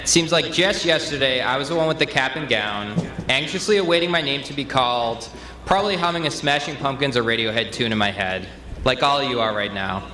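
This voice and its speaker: A man's voice: medium pitch, fairly quick, sharp tone. Male, 30s, school principal, ceremony speech, roomy echo with plenty of background noise. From Massachusetts, United States. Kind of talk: commencement speech. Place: large graduation ceremony venue, amplified speech. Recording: echoey, noisy public address at ceremony.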